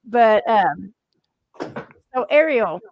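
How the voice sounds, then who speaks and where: Brief: woman host, unhurried, gushing and chatty, dry close sound. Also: on the high side, animated, no room sound, dull audio. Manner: chatty, gushing, laughs often, trails off mid-sentence. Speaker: podcast host interviewing a band. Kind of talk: casual live-stream interview chat.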